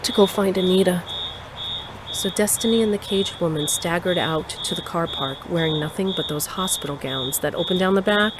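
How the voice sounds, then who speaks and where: A woman plays a bold female lead in an audio drama. The voice sits a little low, moving between brisk first-person narration and dialogue. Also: noisy background, close to an everyday voice. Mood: determined. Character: brisk, bold heroine narrating her rescue adventure.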